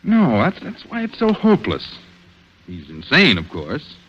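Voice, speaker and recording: An actor playing an earnest, worried police detective in 1940s radio drama style, brooding and terse. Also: male voice, medium pitch, wildly swooping pitch, measured pace, a little hiss or hum. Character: earnest, worried male police detective confiding in his sweetheart. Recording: dull vintage radio recording.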